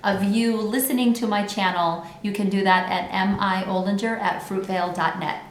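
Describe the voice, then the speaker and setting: A woman's voice: mid-range voice, fairly even intonation, moderate pace. A woman talking casually to children as a principal hosting a read-aloud. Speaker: school principal reading aloud. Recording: roomy echo with faint background noise.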